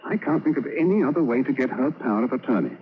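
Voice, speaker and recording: An actor in an old radio drama playing a greedy, nervous middle-aged husband and accomplice who stammers. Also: male voice, mid-range voice, animated, fast, natural voice. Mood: sly. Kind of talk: 1940s radio acting. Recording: tinny lo-fi old radio broadcast with faint hiss.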